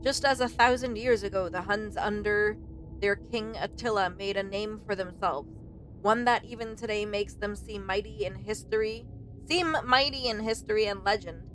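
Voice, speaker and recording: A woman's voice: normal speed, a bit bright, rough recording. A woman streaming a let's play, reading game text aloud and commenting in a casual, informal way. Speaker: streamer playing and reading a visual novel. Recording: clear rumbling background noise.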